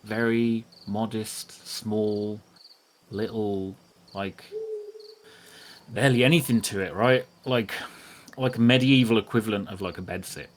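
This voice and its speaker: A man narrating a tabletop game as game master, casual and halting as he searches for words. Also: lowish voice, fairly even intonation, slight background noise.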